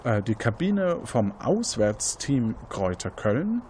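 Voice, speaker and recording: A man's voice: medium pitch, big pitch swings, slow, a little mellow, slight background noise. A man, improvising scene descriptions as game master of an interactive mystery game, often correcting himself.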